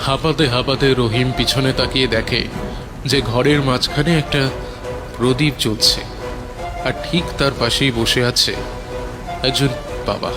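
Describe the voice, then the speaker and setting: A man's voice: medium pitch, fairly even intonation, measured pace, long pauses. A man narrating a scripted horror story in a dramatic storytelling style. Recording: prominent music under the voice.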